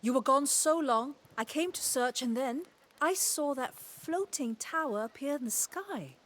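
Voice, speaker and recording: A woman playing a concerned, curious ally in a fantasy game, expressive and questioning. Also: high voice, a little slow. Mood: impatient concern. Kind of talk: fantasy game cutscene acting.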